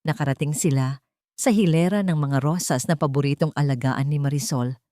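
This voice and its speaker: Low-voiced woman narrating a dramatic story. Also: brisk, mellow, dry recording, clean studio sound.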